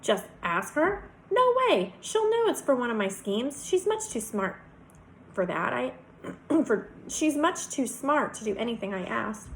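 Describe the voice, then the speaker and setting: Woman acting an excitable, scheming schoolboy. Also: fairly high pitch, unhurried, creaky, slight background noise, heavily acted, low-quality recording. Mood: exasperated. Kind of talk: children's storybook character voice.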